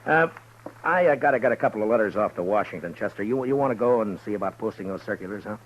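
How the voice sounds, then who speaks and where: An actor playing a calm, reflective frontier marshal who narrates in a slow, laconic, measured way, in a 1950s radio drama. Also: male voice, fairly low pitch, slight background noise, natural voice. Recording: dull old radio recording with music under narration.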